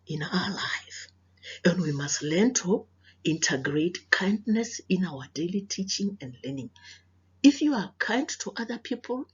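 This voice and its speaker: Husky South African woman, slow lively storyteller. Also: lowish voice, lo-fi sound. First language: Sepedi. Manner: didactic, repeats phrases, questions listeners. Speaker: storyteller and teacher reading aloud to children. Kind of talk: children's read-aloud with moral lesson.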